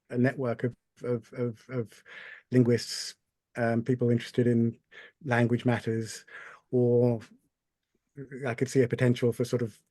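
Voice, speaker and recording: A man's voice: medium pitch, warm tone, no room sound. A man on a video call joining a panel discussion, thoughtful and hesitant, often repeating words. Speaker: panel participant.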